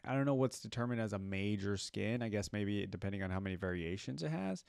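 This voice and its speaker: A man's voice: lowish voice, expressive, quick pace, vocal fry, darkish tone, clear, resonant tone, no room sound, clean studio sound. A man hosting a casual reaction video, musing aloud as he comments and repeats lines he hears.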